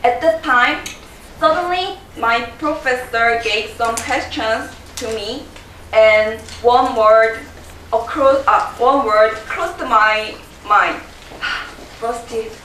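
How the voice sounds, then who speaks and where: A woman's voice: fairly high pitch, slow, a bit bright, slight background noise. A young Korean woman presenting in English with a Korean accent, quizzing her audience and laughing often. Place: large room with a live audience. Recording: echoey room from a distance.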